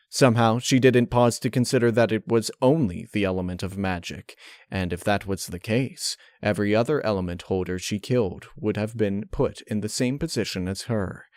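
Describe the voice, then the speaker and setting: A man reading a dark fan fiction story as narrator, in a measured, dramatic way. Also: lowish voice, no echo, studio quality. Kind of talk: dark audiobook-style narration.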